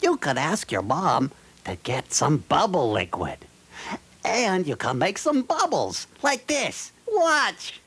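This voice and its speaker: Female kids' show presenter, low husky voice, unhurried, hugely animated intonation. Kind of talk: addressing children on TV.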